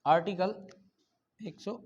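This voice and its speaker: Male law lecturer, Pakistani accent, high voice, didactic delivery that checks for understanding, on a dull lo-fi recording. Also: slow. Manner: didactic, checks understanding often, switches between Urdu and English. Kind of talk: classroom lecture, reading statutes aloud and explaining them.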